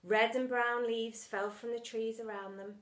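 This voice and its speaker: A woman with a fairly high voice narrates a children's storybook. Her delivery is expressive and theatrical, made for young listeners. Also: a little slow, echoey room. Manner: expressive, theatrical delivery with acted sound words. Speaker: teacher reading story aloud.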